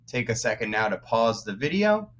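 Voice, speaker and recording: A man teaching young children through a read-aloud, pausing to explain words as he goes. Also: mid-range voice, big pitch swings, fairly bright tone, a little hiss or hum. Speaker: first-grade teacher.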